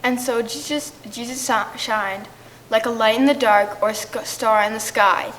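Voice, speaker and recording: A woman reads poetic liturgy from a script in church. Her pitch is slightly raised, and there is faint noise behind her. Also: a little slow, fairly bright tone, low-quality recording. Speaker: reader at a church service. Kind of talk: scripted poetic reading, shared among readers.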